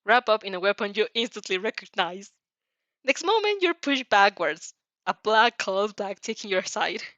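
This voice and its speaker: A woman's voice: mid-range voice, big pitch swings, normal speed, fairly bright tone, no room sound, highs cut off. A woman reading fan fiction aloud with drama, often stumbling over words and breaking into giggles. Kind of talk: animated dramatic reading of a story with giggly asides.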